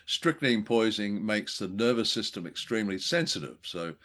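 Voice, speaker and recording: A man co-hosting a radio drama review show, chatting casually in a thoughtful, halting way with frequent pauses. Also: fairly low pitch, expressive, fairly bright tone. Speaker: co-host of an old-time radio review show.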